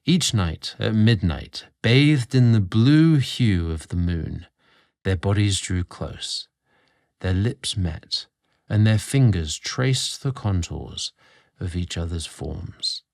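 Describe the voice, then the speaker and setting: Low-voiced man narrating a romantic story. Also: expressive, takes it slowly, gravelly, darkish tone, no room sound, clean studio sound. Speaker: guest host and narrator. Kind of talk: dramatic scripted story narration.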